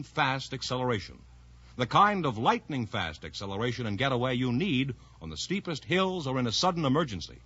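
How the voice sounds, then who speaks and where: A man's voice: fairly high pitch, fairly bright tone, slightly rough, no echo. A man announcing a scripted 1950s radio commercial with a polished salesman's delivery, on an old recording. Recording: dull vintage radio recording.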